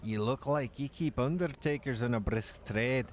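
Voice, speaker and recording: A man voicing a gruff, bloodthirsty male dwarf warrior in a put-on Scottish accent, telling tales in an archaic brogue for a game. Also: mid-range voice, slight background noise, lightly acted. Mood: boastful. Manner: slow, boastful storytelling. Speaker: player reading the dialogue.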